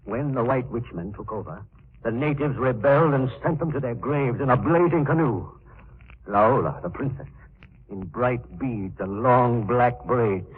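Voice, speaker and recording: An actor playing a fearful, superstitious boat captain who gives ominous warnings in melodramatic 1940s radio style. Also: male voice, medium pitch, unhurried, breathy edge. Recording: noisy, narrow-band 1940s radio recording.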